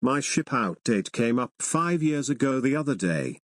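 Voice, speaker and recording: A man acts out a mature young man of twenty in an adult cartoon. He speaks in a formal, articulate way at a middle pitch, with a very dry, close sound. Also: big pitch swings, moderate pace, no room sound, natural voice. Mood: matter-of-fact. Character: mature twenty-year-old young man back from military school.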